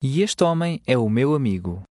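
A man's voice: mid-range voice, sing-song, normal speed, a little mellow, no echo, studio quality. A man with a European Portuguese accent, carefully repeating model phrases in a language course. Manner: clear, careful repetition of phrases.

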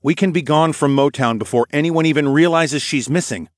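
A man voicing an earnest, steady male traveller who is determined to help, in audiobook dialogue. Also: on the high side, fairly quick, no echo, studio quality, pitched above the performer's own voice, lightly acted. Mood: reassuring, firm.

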